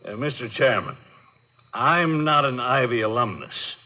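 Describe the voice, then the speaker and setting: A man's voice: mid-range voice, a little slow, pushed and bright. Blunt, irreverent rich old man. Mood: blunt, wry. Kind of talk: 1950s radio comedy acting. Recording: vintage radio broadcast, faint noise.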